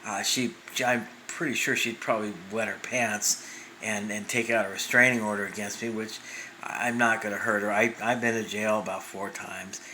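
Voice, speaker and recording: A man's voice: fairly low pitch, flat delivery, normal speed, sharp tone, slight background noise, rough recording. A man in his fifties telling a personal story as a vlogger, rambling with many restarts and fillers. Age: 50s.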